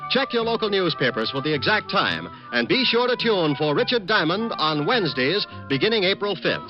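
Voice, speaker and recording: American male announcer, high and lively delivery, formal old-time radio style, music behind, dull recording. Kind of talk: scripted 1950s radio announcing.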